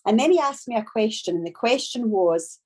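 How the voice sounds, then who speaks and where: A woman from Scotland with a strong Scottish accent, giving a training talk on a video call and telling her story slowly and deliberately. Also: fairly high pitch, lively intonation, darkish tone, slightly rough. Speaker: business trainer.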